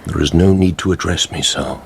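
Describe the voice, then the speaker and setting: Radio drama lead: aristocratic count, deep register, cool, guarded, impeccably courteous. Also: male voice, gravelly, slight background noise. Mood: calm, guarded. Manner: measured, formal, reserved.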